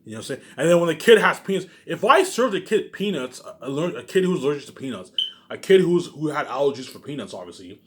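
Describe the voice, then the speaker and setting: Ranting man with wildly swinging pitch. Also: big pitch swings, fast, a little hiss or hum. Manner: emphatic and repetitive, punctuated with muttered tag words; profane, accusatory. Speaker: vlogger. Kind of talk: rambling, ranting monologue addressed to viewers.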